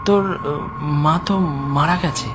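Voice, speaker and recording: A man's voice: a little slow, over music, lightly acted. A man voicing a frightened, hesitant boy who stammers anxiously, in a horror cartoon. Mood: alarmed. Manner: hesitant, stammering, high-strung. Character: frightened, anxious boy searching for his lost brother.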